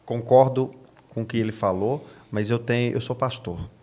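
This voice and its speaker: A man's voice: medium pitch, normal speed, darkish tone. A Brazilian man, a pastor, talking as an interview guest in a didactic, preaching way, repeating key phrases for emphasis.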